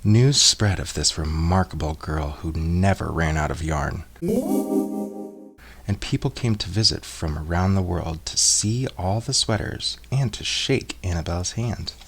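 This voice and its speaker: Deep-voiced man reading a picture book. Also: unhurried, gravelly, soft background music. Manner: expressive, acts out character voices. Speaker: storyteller. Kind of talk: children's picture book read-aloud.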